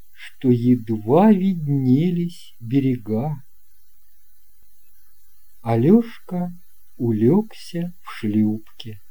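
A man narrating a scripted children's tale as an audiobook reader, in deliberate, measured phrases. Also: medium pitch, warm tone, husky, rough recording.

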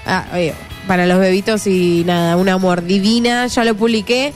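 An Argentine woman co-hosting a radio show, trading quick, playful banter. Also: mid-range voice, steady tone. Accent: Argentine Spanish. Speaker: radio co-host. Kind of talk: casual radio chat. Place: radio studio. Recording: light music behind.

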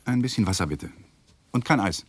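An actor playing an evasive, weary young married lawyer, hesitant and guarded, in radio play dialogue. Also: male voice, lowish voice, expressive, a little fast, soft background music. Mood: guarded, subdued.

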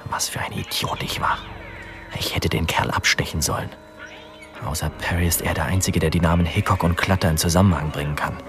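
A man's voice: low voice, fairly even intonation, brisk, music in the background, close to an everyday voice. An actor playing a scheming male murder suspect, brooding in a bitter inner monologue that turns to whispers. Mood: bitter, anxious. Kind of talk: radio drama acting.

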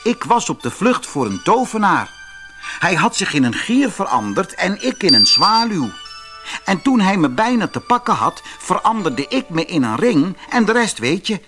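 A man voicing a quick-witted, eager young hero in a fairy tale audiobook, speaking earnestly. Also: high voice, sing-song, over music. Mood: eager, relieved.

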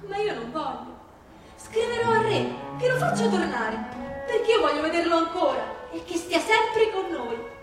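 A woman's voice: very high voice, very animated, normal speed, voice pushed up. A woman voicing a small, stubborn, heartbroken girl who protests indignantly in a stage storybook tale. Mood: pleading, defiant. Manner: protesting, emphatic. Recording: echoey hall from far off, music behind.

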